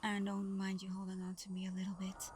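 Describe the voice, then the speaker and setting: A woman playing Santa's gentle, shy adopted daughter in an intimate ASMR roleplay, soft-spoken, tender and a little hesitant. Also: mid-range voice, monotone, brisk, close to an everyday voice. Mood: soft, teasing. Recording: clearly noisy background ambience.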